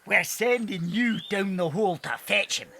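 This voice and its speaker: An actor playing a mean, bossy, scheming thin farmer with a raspy, sneering delivery in a children's audio drama. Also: male voice, sits high, normal speed, pushed and bright, slight background noise, exaggerated voice. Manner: sneering, domineering.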